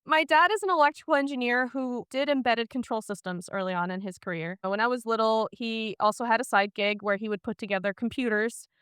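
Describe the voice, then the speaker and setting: A woman's voice: a little high, fairly even intonation, a little fast, hardly any pauses, fairly bright tone, smooth, dry recording, studio quality. A woman from Iceland speaking as a podcast guest, telling her career story in a candid, chatty way.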